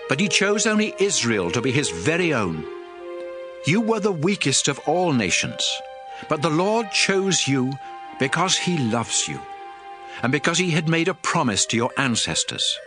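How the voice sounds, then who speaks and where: A man's voice: fairly high pitch, sing-song, normal speed. Man playing a fervent, sweeping prophet addressing his people. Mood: fervent, exalting. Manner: solemn, emphatic, declamatory. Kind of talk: dramatized scripture reading. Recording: music under the voice.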